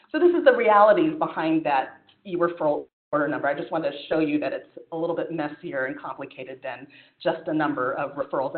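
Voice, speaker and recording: A woman physician giving a conference talk and answering questions, in a conversational way with frequent fillers and restarts. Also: fairly low pitch. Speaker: physician presenter. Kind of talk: conference presentation with Q&A. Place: conference room. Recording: phone-like echoey room sound.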